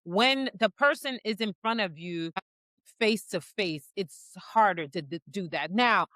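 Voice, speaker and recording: A woman co-hosting a faith-based talk show, explaining her points in a measured way. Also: mid-range voice, fairly bright tone, no room sound. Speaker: co-host. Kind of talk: casual faith-based discussion.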